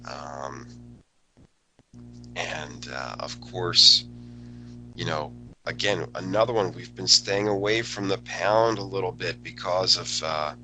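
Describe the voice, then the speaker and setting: A man hosting a live trading webinar, talking casually with many fillers, his voice still a little low after a cold. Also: slow pace, pauses often, bright, forceful tone, slight background noise, low-quality recording. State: recovering from a cold, voice a bit lower. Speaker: forex educator hosting a webinar. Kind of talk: live market analysis webinar.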